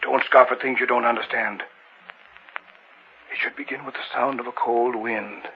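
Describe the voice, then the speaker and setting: A man's voice: medium pitch, steady tone, moderate pace, natural voice. A dull, faintly noisy old radio recording carries a man acting an older occult scholar. He is grave and pedantic, with eerie eagerness and a patronizing way of explaining things in a horror play. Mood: tense, insistent.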